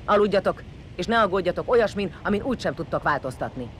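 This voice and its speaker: An actor in an audio drama playing a vengeful, bloodthirsty warrior leader who sneers, swears and barks orders. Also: male voice, high voice, expressive, slight background noise. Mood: curt, irritated. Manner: sneering, foul-mouthed, barking orders.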